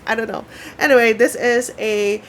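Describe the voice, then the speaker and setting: A young woman from the Philippines, a Tagalog speaker talking casually to camera at home by her bookshelves, chatty and excitable. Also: a little high, moderate pace, a little hiss or hum. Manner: chatty, excitable, self-mocking. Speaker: book vlogger.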